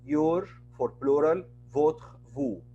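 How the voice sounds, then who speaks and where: A male teacher leads an online class through a computer mic. His voice sits a little high, and he speaks at a very unhurried pace. He patiently repeats each word and example for his students. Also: gravelly, dark tone, muffled recording, slight background noise.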